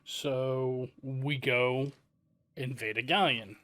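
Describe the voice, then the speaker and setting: A man's voice: medium pitch, steady tone, takes it slowly, pushed and bright, no room sound. A man hosting a let's-play, giving casual commentary with dry, wry asides. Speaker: gaming channel host.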